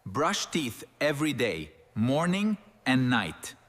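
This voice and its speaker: A man voicing a kind, patient cartoon dentist who speaks calm, simple broken English. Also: mid-range voice, slow pace, in a large hall, full character voice. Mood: reassuring.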